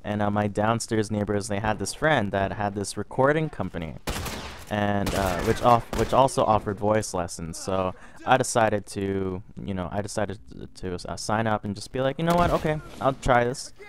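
Young man, a bit under the weather, casual rambling storyteller over game footage, low-pitched. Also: moderate pace, a little hiss or hum. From Canada. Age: young adult. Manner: rambling, repeats words and restarts often. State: a little sick. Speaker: gaming vlogger.

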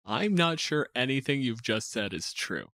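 A sardonic, deadpan man co-hosting as game master, with an exasperated edge to his casual banter. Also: mid-range voice, normal speed, dry recording, studio quality. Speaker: podcast co-host and game master.

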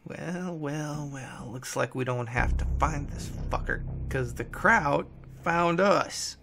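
Man playing a gruff, growling, gravelly tough guy. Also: a little high, takes it slowly, background noise. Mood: mocking. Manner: growling, taunting. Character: gruff, aggressive, foul-mouthed male Nazi hunter, mocking.

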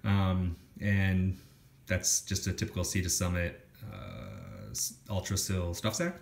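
Lowish male voice, casual gear rundown. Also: lively intonation, slow pace, creaky, a little hiss or hum. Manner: casual listing with many fillers and restarts. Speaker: hiker showing his gear. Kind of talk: casual gear walkthrough voice-over. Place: indoors at home, filming gear on a floor.

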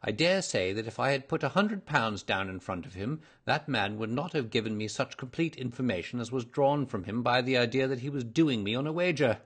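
Guy doing a clever gentleman detective for an audiobook, smooth talker, polite, very self-assured. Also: mid-range voice, expressive, fairly quick, few pauses, pitched above the performer's own voice. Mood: amused, musing. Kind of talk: audiobook character voice.

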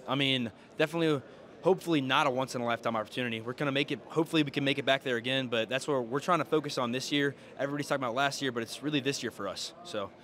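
A teenage Southern football player giving short, easygoing answers into a handheld mic. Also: male voice, medium pitch, very fast, a bit bright. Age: teens. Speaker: high school football player. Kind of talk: casual interview answers. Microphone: handheld mic held by interviewer.

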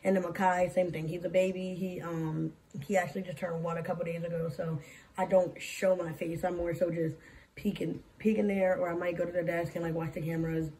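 A young woman with a hoarse, scratchy voice, chatting casually in a rambling way through a life-update vlog, recorded on a phone at arm's length in a small room. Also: lowish voice, flat delivery, a little fast, dark tone, recorded from a distance. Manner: rambling, filler-heavy, talks with her hands. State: hoarse, losing her voice. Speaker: vlogger and daycare lead teacher. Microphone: phone camera at arm's length.

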